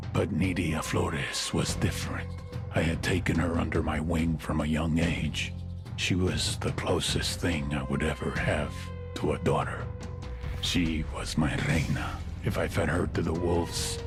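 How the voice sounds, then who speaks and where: A man playing a brooding, menacing cartel boss in a video game, middle-aged, with a Latin American Spanish accent and a gravelly, measured delivery. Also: a bass voice. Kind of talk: cinematic video game acting.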